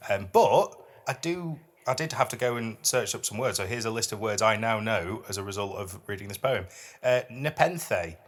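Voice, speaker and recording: A man's voice: on the low side, expressive, moderate pace. A middle-aged British man hosting a podcast, chatting casually with a wry, self-deprecating touch and reading poetry dramatically. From United Kingdom. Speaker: podcast host and dad.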